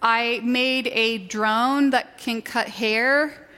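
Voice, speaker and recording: A woman's voice: a little high, steady tone, drawn-out words, sharp tone, clear, resonant tone. A woman from Sweden in her thirties, an inventor and online creator, chatting casually as an interview guest, joking about herself and laughing often. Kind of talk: casual chat on stage. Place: round live stage, reverberant hall. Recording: long hall reverb from a live stage.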